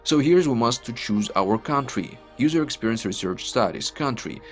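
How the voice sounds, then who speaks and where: A man's voice: mid-range voice, expressive, normal speed, soft background music. A man in his twenties from Ukraine with a Ukrainian accent, speaking English as a second language in a chatty, repetitive walkthrough that keeps calling out to "guys". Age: 20s. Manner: non-native English, chatty and repetitive, often says "guys". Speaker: online earning vlogger. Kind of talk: casual screen-recorded walkthrough.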